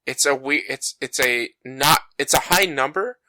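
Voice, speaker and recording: A man's voice: moderate pace, sharp tone, no room sound. A man co-hosting a casual gaming podcast, talking in a rambling, self-deprecating way with plenty of fillers. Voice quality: bassy voice. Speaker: podcast co-host. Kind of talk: casual chat. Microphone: home podcast mic.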